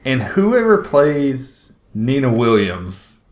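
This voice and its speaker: A man's voice: mid-range voice, very animated, slow. A young adult man co-hosting a podcast in casual chat, rambling, blunt and sometimes sweary. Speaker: podcast co-host. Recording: roomy, echoey voice recording.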